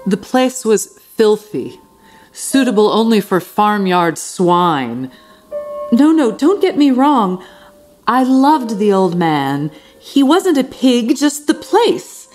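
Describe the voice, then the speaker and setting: An actress playing a devoted, sternly fussy housekeeper obsessed with cleanliness, speaking in a prim, formal, intense manner in a radio drama. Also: female voice, mid-range voice, big pitch swings, slow pace, a little mellow, faint music behind. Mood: fastidious, uneasy. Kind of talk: theatrical radio drama monologue and dialogue.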